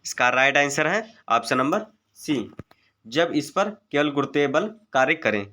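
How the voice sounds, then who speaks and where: Bright-voiced man reading quiz questions. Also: on the high side, expressive, moderate pace. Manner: methodical, formulaic delivery. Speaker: exam-prep physics teacher. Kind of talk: reading out multiple-choice quiz questions and answers.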